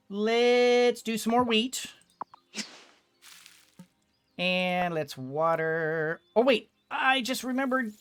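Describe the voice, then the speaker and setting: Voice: mid-range voice, fairly bright tone. A gamer giving casual let's play commentary, thinking aloud and often repeating words. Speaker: gaming video host.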